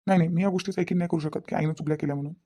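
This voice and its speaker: Lady doing a cartoon young husband, really rapid talker, husky and dark, firmly denying everything. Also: low voice, steady tone. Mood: disbelieving, firm. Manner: firm, repeats denials. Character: mother-defending young husband, insistent and disbelieving. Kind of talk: Marathi cartoon family comedy-drama acting.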